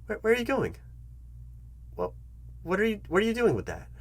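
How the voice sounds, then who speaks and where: Man playing a condescending, animated job interviewer. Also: sits high, dry recording, exaggerated voice. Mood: mocking, flustered. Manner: halting, repetitive, mock-earnest, patronizing. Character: foolish, condescending male job interviewer toying with the candidate. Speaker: ASMR roleplay performer. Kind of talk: improvised ASMR comedy roleplay, addressing the listener.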